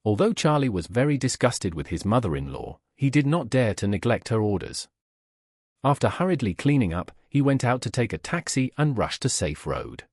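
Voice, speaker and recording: Guy narrating a story, bit of rasp, really up and down intonation, dry recording. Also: medium pitch, very animated, normal speed, a little mellow, dry recording. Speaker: audiobook narrator.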